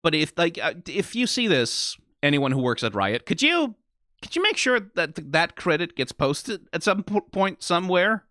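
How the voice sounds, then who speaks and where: A man's voice: fairly high pitch, sing-song, bright, forceful tone, no room sound. Tired man rambling with dry, close sound. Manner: informal, freely swearing, emphatic; rambling, halting, trailing off mid-thought. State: tired after being awake for twenty hours. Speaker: host of a reaction video. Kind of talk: off-the-cuff reaction commentary.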